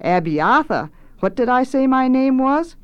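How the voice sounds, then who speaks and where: A woman's voice: mid-range voice, sing-song, measured pace, a little mellow, smooth, no echo, highs cut off. A woman voicing a bloated, drunken male head cook in a storybook, bombastic and wheedling as they relish every insult. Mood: taunting, menacing. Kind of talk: dramatic storybook reading.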